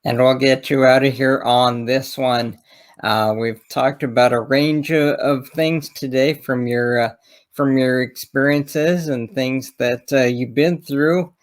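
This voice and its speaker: Slow-paced male interview host. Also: mid-range voice, fairly even intonation. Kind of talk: interview questioning.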